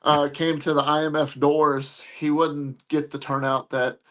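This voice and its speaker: A man's voice: a little high, level tone, measured pace, fairly bright tone. A man speaking as a wrestler guest on a talk show, bantering casually with blunt trash talk aimed at rivals.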